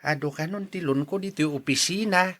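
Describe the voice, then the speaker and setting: A man's voice: a little high, a little fast, a bit bright, natural voice. A man acting a humble, grateful office janitor and struggling father in an Ilocano audio drama, deferential and plaintive. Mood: weary, plaintive.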